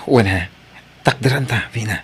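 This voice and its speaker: A man with a Filipino accent and a husky voice playing a devoted, earnest young sweetheart in a romantic drama. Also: mid-range voice, normal speed, a bit bright, slight background noise, close to an everyday voice. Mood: tender, earnest. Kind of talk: Filipino radio-style romantic drama acting.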